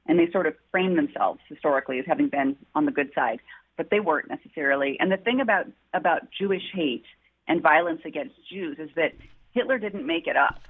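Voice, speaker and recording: Husky woman author on a phone line. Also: sits low, fairly quick. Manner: earnest, heartfelt storytelling. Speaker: author guest. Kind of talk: reflective interview answers. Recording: tinny phone-line audio.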